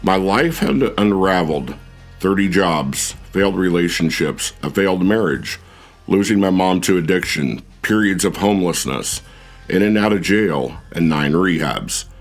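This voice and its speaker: A man narrating an audiobook foreword in a measured, even, scripted reading. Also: low voice. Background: faint music.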